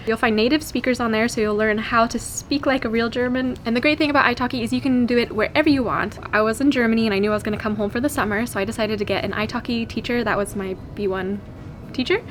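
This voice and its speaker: A young American woman from California, chatting to camera in a lively, giggly vlog. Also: on the high side, quick pace, a little hiss or hum. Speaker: vlogger. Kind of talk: casual chat to camera.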